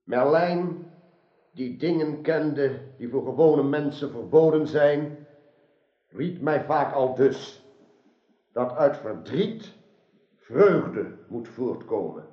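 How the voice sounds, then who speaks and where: A man's voice: mid-range voice, expressive, slow, pauses often. A man playing a solemn, noble, trusting king in a radio drama, with stately declamation. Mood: solemn, welcoming. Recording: dull, roomy old radio recording.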